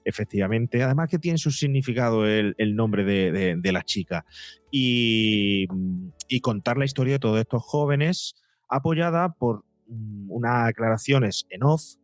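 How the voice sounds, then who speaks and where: Man, mid-pitched voice, podcast guest, long reflective explanations, very dry recording, faint music behind. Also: animated, measured pace, no room sound. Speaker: psychologist and podcaster, interview guest. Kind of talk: explanatory interview talk. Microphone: close podcast mic, seated at a table.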